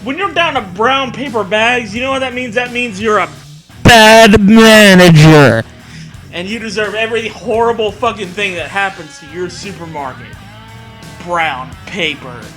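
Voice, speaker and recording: Animated, high-pitched man riffing. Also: a little slow, long pauses, soft background music. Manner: profane, mocking, exasperated. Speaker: co-host. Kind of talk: comedic riffing commentary.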